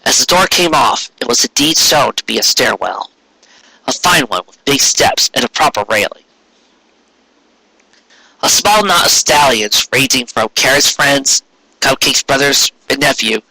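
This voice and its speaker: Male story narrator: medium pitch, lively intonation, husky edge, earnest scripted read. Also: dry recording, rough recording. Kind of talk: scripted fan fiction storytelling.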